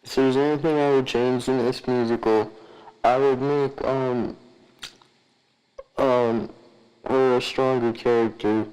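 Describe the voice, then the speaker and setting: A man giving casual comedic commentary with a crude, deliberate way of talking. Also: mid-range voice, steady tone, a little mellow, clear, resonant tone, low-quality recording. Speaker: podcast cast member.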